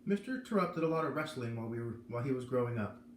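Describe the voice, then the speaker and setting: A man's voice: medium pitch, lively intonation, rapid-fire, close to an everyday voice. A man voicing a grave, kindly doctor who explains things with care, in a read-aloud of a children's novel. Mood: grave explaining. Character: grave, kindly male doctor with greying hair. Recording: roomy echo, noticeable background noise.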